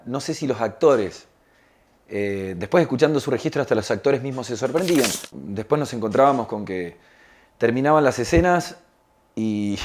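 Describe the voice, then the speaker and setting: Reflective man, medium-pitched voice. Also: darkish tone. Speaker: interviewee on a radio drama project. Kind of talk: reflective interview talk.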